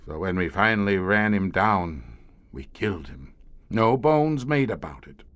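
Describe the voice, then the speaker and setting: An actor playing a weary, grim professor who commands a secret police squad, speaking formally and hesitantly in an audio horror drama. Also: male voice, deep voice, animated, fairly bright tone, no echo. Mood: grimly matter-of-fact. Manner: measured, hesitant, formal, darkly matter-of-fact.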